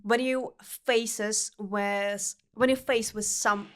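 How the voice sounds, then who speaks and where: A young woman from Uzbekistan who speaks English with a Russian accent as a non-native speaker, talking earnestly with halting phrasing and restarts as a podcast guest. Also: medium pitch, steady tone. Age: young adult. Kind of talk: casual interview chat.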